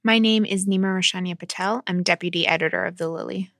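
A woman who works as a deputy editor, explaining a story in a measured, deliberate way. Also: lowish voice, steady tone, vocal fry, fairly bright tone, no room sound, clean studio sound. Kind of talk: explanatory podcast talk.

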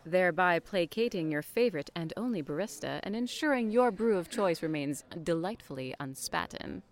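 A woman's voice: fairly low pitch, expressive, moderate pace, crowd noise. A woman playing a wry, teasing barista. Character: sardonic young nonbinary barista, teasing and witty. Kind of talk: audio drama acting.

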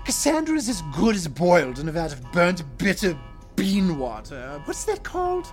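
A woman's voice: on the low side, huge pitch swings, normal speed, a little mellow, faint music behind. A woman playing a disdainful, vengeful deposed alien royal in a sci-fi audio drama, imperious and sneering in manner. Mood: vengeful, indignant.

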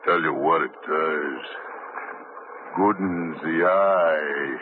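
An actor playing a big, strong, slow-witted sailor who talks haltingly, in an old radio drama. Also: male voice, very deep voice, full character voice. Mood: drunk. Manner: halting, dim, drunken slur. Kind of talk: 1950s radio drama acting. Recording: tinny old radio broadcast, faint hiss.

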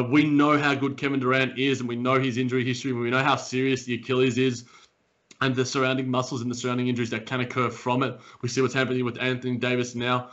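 Podcast co-host, man from Australia, bright edgy voice, rambling and animated, a bit raspy, lo-fi remote line. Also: mid-range voice. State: slightly raspy morning voice. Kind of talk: casual sports podcast chat. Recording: dull lo-fi remote audio.

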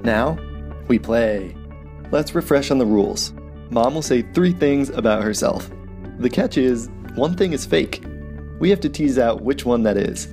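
A man's voice: mid-range voice, animated, measured pace, a little mellow, faint music behind. A man hosting a hospital podcast, introducing guests and asking them upbeat, friendly questions. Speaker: podcast host.

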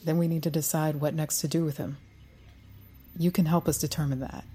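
An actress in an audio drama playing a cool, controlled special agent who speaks in a measured, authoritative way. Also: female voice, low-pitched, flat delivery, dark tone, faint music behind, lightly acted. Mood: grave, persuasive.

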